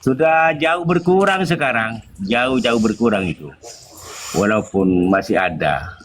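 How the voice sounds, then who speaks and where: A man with a Madurese accent giving an informal religious talk in a group discussion, in a halting, code-switching delivery. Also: medium pitch, lively intonation. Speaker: guest speaker in a group discussion.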